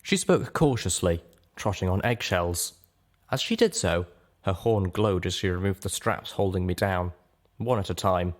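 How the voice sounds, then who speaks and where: A man's voice: sits low, lively intonation, normal speed. A man reading scripted first-person fiction as an audiobook narrator.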